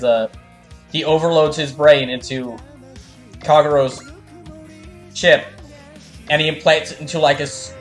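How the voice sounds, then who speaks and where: A man's voice: fairly high pitch, fairly bright tone. A man giving casual livestream commentary on a video game, rambling enthusiastically as he explains lore. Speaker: streamer doing live fan dubs of a video game. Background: music.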